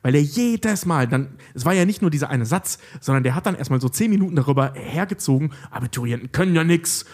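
A man's voice: on the high side, expressive, fast, few pauses, darkish tone. A man co-hosting a podcast, candidly telling a story about his past in casual chat. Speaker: podcast co-host.

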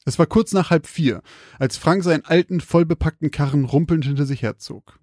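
A man with a slightly creaky voice, hosting and telling stories on a podcast. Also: fairly high pitch, moderate pace, darkish tone, no room sound, clean studio sound. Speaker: podcast host and storyteller. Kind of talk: casual banter and scripted horror storytelling.

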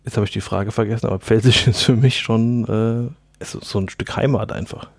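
A man's voice: lowish voice, fairly even intonation, gravelly, dry recording. Hesitant man co-hosting a podcast. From Palatinate, Germany. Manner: short, hesitant answers with pauses. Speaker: podcast co-host. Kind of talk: casual podcast chat.

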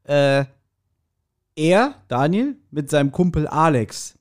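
A man chatting casually as a podcast host, telling anecdotes in an animated way and acting out quoted remarks. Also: a little high, slow pace. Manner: animated storytelling, laughs readily, acts out quoted remarks.

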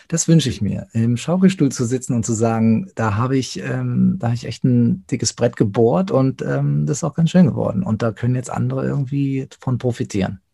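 A man speaking reflectively as an interview guest, thoughtful and often pausing to search for words. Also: mid-range voice, gravelly, warm tone, breathy edge. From Germany.

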